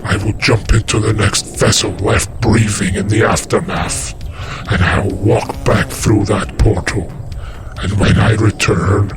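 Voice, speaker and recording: An actor playing an ancient male demon lord who steals bodies, slow, menacing and contemptuous, with a whispery edge. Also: on the low side, heavy background noise, close to an everyday voice. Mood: threatening. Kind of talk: audio drama acting. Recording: loud music under the voice. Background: loud music.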